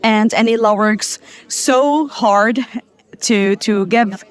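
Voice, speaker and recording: Woman from Palestine, earnest, halting restarts, medium pitch, interview testimony. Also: clear, resonant tone. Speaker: conference participant.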